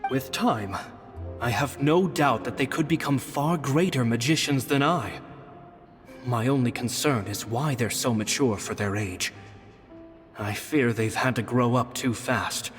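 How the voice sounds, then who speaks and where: A man voicing a gentle, modest stage magician in a video game, reading his diary in an earnest, reflective way. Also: medium pitch, unhurried, close to an everyday voice. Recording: long reverb over game music.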